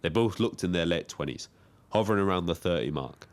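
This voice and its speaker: An actor playing a lonely, observant young British taxi driver, narrating in a measured, wry, literary way. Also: male voice, deep voice, expressive. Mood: wry, reflective. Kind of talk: scripted first-person narration.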